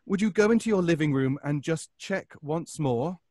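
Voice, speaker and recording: An actor playing a nervous, obsessive neighbor in an old-time radio suspense drama, stammering and pleading. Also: male voice, on the high side, animated, normal speed, darkish tone, no room sound, clean studio sound. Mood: insistent, anxious. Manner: stammering, hesitant, breaking off.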